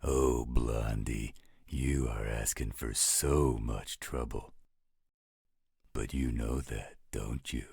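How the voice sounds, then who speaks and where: Man acting a very deep, gravelly werewolf guardian. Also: steady tone, slow, a little mellow, no room sound, studio quality, exaggerated voice. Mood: teasing menace. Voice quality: gravelly, husky. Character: gruff overprotective male werewolf guardian, teasing, loyal. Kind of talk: roleplay audio drama acting.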